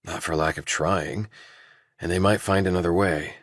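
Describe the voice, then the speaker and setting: A man acts out a desperate young man suspected of murder, very low in pitch. He is blunt, earnest and insistent, as audiobook dialogue in a dry recording. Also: fairly even intonation, moderate pace, no room sound. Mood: guarded, frustrated.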